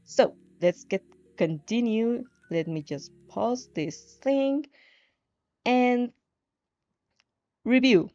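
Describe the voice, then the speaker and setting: A woman streamer talks casually in a soft-edged, mellow voice at a slow, halting pace. She repeats herself and giggles as she goes. The recording is a little dull, with faint music behind her. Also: a little high, expressive. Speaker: streamer reading fan fiction aloud. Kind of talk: casual livestream chat and read-aloud. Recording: muffled, roomy home recording with music.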